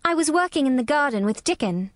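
A woman voicing a stubborn, sour young girl with a sharp, contrary manner in a storybook reading. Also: high-pitched, animated, quick pace, no echo, clean studio sound, pitched above the performer's own voice. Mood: stiff.

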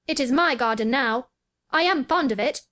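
A proud young boy from a storybook, played by a woman. His voice is pitched well up high. He speaks grandly and commandingly, like someone used to being obeyed. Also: moderate pace, a bit bright. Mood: lordly. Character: proud, imperious sickly boy giving orders.

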